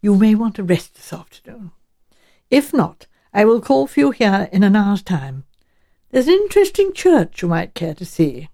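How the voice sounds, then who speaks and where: A woman voicing a courteous, frank male English professor in an audiobook, speaking in a measured and direct way. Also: medium pitch, very animated, dark tone.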